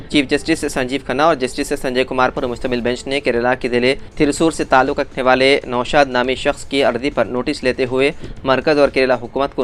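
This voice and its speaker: A man's voice: medium pitch, a bit bright, a little hiss or hum. A man presenting scripted news in a formal bulletin delivery, as a news presenter would.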